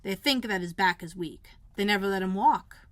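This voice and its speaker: A woman's voice: medium pitch, normal speed, no room sound, close to an everyday voice. Woman voicing an anxious young storybook housemaid. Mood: gossipy. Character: anxious, plain-spoken young Yorkshire housemaid, easily alarmed.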